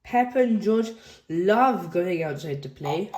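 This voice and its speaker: A woman reading a children's picture book aloud in a slow, expressive storytelling manner. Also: fairly low pitch. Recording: echoey room.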